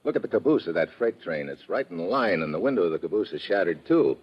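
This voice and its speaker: Low-voiced hard-boiled American agent. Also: male voice, very animated, husky, exaggerated voice. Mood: musing, frustrated. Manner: brisk, hard-boiled, questioning. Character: tough male American government agent investigating a murder. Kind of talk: 1950s radio detective drama acting. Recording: muffled, noisy old radio recording.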